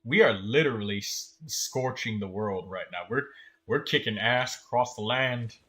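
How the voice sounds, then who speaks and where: A man giving casual, excitable, swearing commentary while streaming a video game. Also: mid-range voice, moderate pace. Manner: profane, excitable trash talk. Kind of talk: casual live gameplay commentary.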